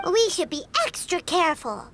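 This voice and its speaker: A woman voicing a tiny, excitable fairy companion in a game, squeaky and childlike, over music. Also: moderate pace, exaggerated voice. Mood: eager, recounting. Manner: childlike, speaks of self in third person. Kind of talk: scripted cartoonish game dialogue. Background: loud children's-style background music.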